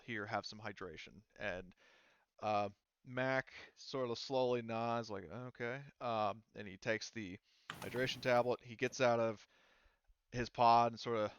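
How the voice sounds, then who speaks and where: A man running a tabletop game as game master, improvising narration in a halting, casual way. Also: mid-range voice, fairly bright tone, clean tone.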